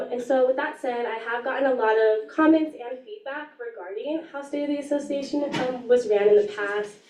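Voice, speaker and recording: A young woman in student government, her voice a little raspy, giving an earnest announcement at a meeting with frequent ums. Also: mid-range voice, warm tone. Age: young adult. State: slightly raspy voice. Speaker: student government chief of staff. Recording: echoey room, distant mic.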